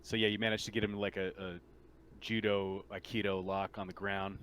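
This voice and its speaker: A man casually improvising narration as game master of a tabletop game, in a halting, informal way. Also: fairly low pitch, level tone, a bit bright, slight background noise.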